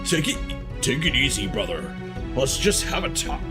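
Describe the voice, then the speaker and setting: A man's voice: mid-range voice, sing-song, moderate pace, over music, pitched above the performer's own voice, natural voice. A man voicing a young, bro-talking male video game character while reading out the dialogue. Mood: placating.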